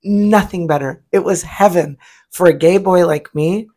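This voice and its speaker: Male podcast co-host, middle-aged, husky, animated and campy. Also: sits high, a little slow. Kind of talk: casual chat.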